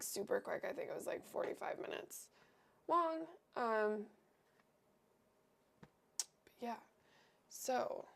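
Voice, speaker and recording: A woman's voice: fairly high pitch, vocal fry, a little hiss or hum. A young woman with a cold and a nasal voice, hosting a livestream and chatting casually as she paints, wandering and thinking aloud with lots of fillers. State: sick and nasal.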